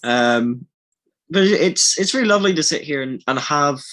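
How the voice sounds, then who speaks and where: Rambling man with a higher-pitched voice. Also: lively intonation, moderate pace, fairly bright tone. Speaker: audio drama lead actor. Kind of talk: casual behind-the-scenes podcast chat.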